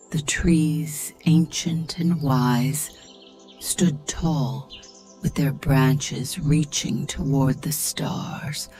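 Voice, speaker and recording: A woman with a soft, breathy, half-whispered voice, narrating a guided meditation or bedtime story in a soothing, gentle, measured manner. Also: rumbling low.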